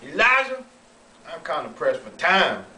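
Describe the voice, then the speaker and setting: A man's voice: a little high, big pitch swings, normal speed, pushed and bright, voice pushed up, exaggerated voice. A man playing a brusque, busy railroad boss in an amateur sketch read-through, emphatic and commanding. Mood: hurried. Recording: roomy, distant, faintly noisy recording.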